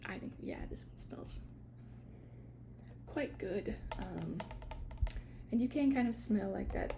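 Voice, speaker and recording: A woman doing an ASMR shop roleplay as an attendant, whispering gentle explanations in a hesitant, unscripted way. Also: medium pitch, animated, takes it slowly, vocal fry. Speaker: ASMR creator playing a tea shop attendant.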